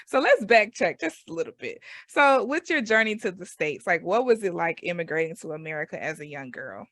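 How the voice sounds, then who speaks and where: A woman's voice: lowish voice, big pitch swings, brisk, fairly bright tone, dry recording. A woman hosting a radio interview show, welcoming a guest and asking open questions in an upbeat way.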